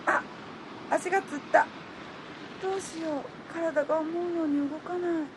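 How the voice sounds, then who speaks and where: A woman's voice: very high-pitched, normal speed, background noise. An actress playing a flustered, prickly woman of thirty-three who narrates her own romance in a radio drama. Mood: panicked. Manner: expressive, switches between inner monologue and dialogue. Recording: music behind the voice.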